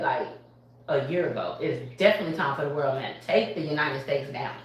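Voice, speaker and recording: A woman, a barbershop owner speaking on a live panel in an animated, emphatic way, often laughing. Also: mid-range voice. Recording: echoey room from far off.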